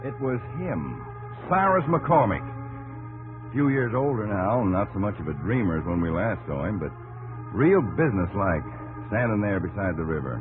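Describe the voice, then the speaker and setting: Deep-voiced, earnest American farmer. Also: male voice, big pitch swings, poor audio. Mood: somber, reflective. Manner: plainspoken, dramatic, halting. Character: weary, earnest young frontier wheat farmer. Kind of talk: 1950s radio drama acting and narration. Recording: old 1950s radio recording with music behind.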